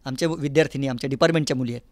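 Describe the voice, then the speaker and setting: A man's voice: mid-range voice, racing, dry recording. A man with a Maharashtrian accent explaining things earnestly as a guest in an interview. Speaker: interview guest, folk artist and academic department head. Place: recording studio.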